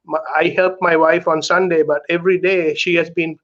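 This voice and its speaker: A man's voice: on the high side, little pitch movement, normal speed, fairly bright tone, lo-fi sound. A middle-aged man from Sri Lanka talking earnestly as a guest, often checking in with "right?", heard over a video call. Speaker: author and guest. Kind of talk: candid conversational interview talk.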